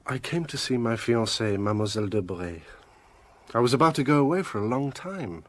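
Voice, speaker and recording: An actor playing a proud, defensive, well-bred young gentleman in a radio drama. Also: mid-range voice, animated, fairly quick, lightly acted.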